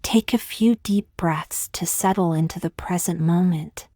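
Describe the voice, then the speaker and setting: Very slow, soothing woman guiding meditation. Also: fairly low pitch, no room sound, studio quality. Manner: calm, unhurried, gently measured with long pauses. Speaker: meditation guide. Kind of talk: guided meditation, scripted soothing narration.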